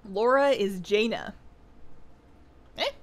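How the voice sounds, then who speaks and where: A woman's voice: mid-range voice, animated, slow pace. Chatty woman streamer, tired voice. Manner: chatty, reads viewer questions aloud, frequent "like" and "um". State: voice a little tired after two hours of talking. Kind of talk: casual live-stream chat while gaming.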